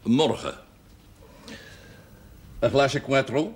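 An actor playing an older, jovial and commanding village parish priest in a television drama. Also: male voice, medium pitch, lively intonation, extremely slow. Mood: brisk, jovial. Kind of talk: naturalistic television drama acting.

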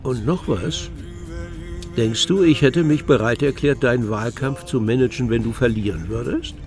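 An actor playing a confident campaign manager in a radio drama, emphatic and reassuring in a blustering way. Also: male voice, mid-range voice, normal speed, a little mellow, soft background music, natural voice.

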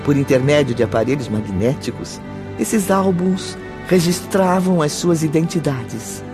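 An actor as the solemn narrator of a spiritual radio drama, speaking formal Portuguese dramatically with a Brazilian accent. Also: male voice, fairly high pitch, expressive, a little slow, music in the background. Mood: marveling, explanatory. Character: solemn male storyteller of a spiritual tale.

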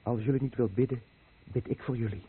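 A man's voice: medium pitch, fairly quick, breathy edge. An actor playing a pious, anguished fisherman in a radio play, speaking solemnly and insistently. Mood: pleading, solemn. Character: Suzuki, pious fisherman on watch, anguished and dread-filled. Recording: tinny, noisy old radio drama recording.

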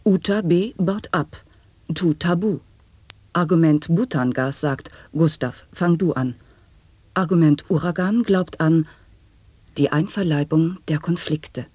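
A husky absurdist announcer. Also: mid-range voice, measured pace, darkish tone, dry recording. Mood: earnest, formal. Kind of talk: avant-garde radio play recitation of nonsense wordplay.

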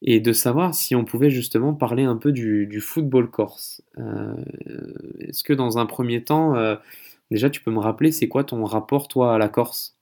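A man's voice: medium pitch, moderate pace, darkish tone. A man hosting a casual conversational interview, speaking in a rambling, hesitant way. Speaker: podcast host interviewing a guest.

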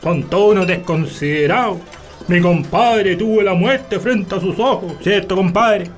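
An actor playing a loyal, rowdy country drinking buddy in a radio drama, folksy and exclamatory, with a rural Chilean accent. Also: male voice, sits high, measured pace, faint music behind. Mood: loyal, protective.

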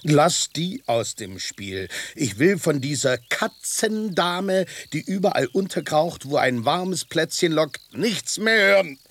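An actor playing a tough, grumpy, proud old street tomcat in a radio drama, gruff and curt. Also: male voice, a little high, very animated, slow pace, few pauses, fairly bright tone, exaggerated voice. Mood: jealous.

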